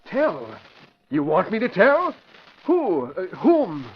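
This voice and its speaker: A panicky, stammering small-time crook in an old radio drama, pleading for his life. The voice is fairly high and leaps all over the place. It sounds dull and old, with a faint hiss behind it. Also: wildly swooping pitch, takes it slowly, full character voice. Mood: terrified. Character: frightened nervous man caught by the Hornet. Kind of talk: 1940s radio acting.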